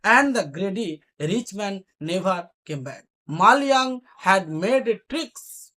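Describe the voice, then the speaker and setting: A woman English teacher retelling a story as a lesson, deliberately explaining and repeating phrases for learners. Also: mid-range voice, expressive, a bit bright.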